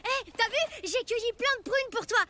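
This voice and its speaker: An actor playing a spirited, lonely young boy in a French children's cartoon, excitable and exclaiming, hesitant when cornered. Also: very high voice, normal speed, over loud music. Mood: eager, calling out.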